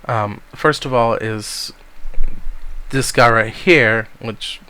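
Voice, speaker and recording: A man's voice: mid-range voice, slow pace, clean tone. Rambling young man with a cold. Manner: rambling, many ums, soft-spoken to spare his voice. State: has a cold. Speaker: gamer giving a server tour. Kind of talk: casual game tour commentary.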